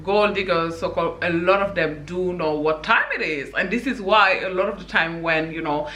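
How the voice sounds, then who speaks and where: A woman's voice: on the low side, normal speed, pushed and bright, reverberant, slight background noise. A woman from Senegal giving her opinions in a casual vlog, talking emphatically with many "you know"s. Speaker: vlogger.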